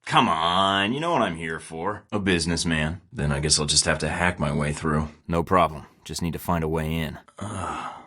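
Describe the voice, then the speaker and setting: A man's voice: a bass voice, normal speed, hardly any pauses, bright, forceful tone, exaggerated voice. A man voicing a wry young secret agent who stays cool under pressure and talks in a dry, sarcastic, clipped way, recorded as video game voice lines. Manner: dry, sarcastic, clipped radio-style field talk.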